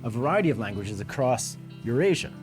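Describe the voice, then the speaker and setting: A man's voice: mid-range voice, sing-song, brisk, darkish tone. American man presenting a documentary. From United States. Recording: music bed under the voice.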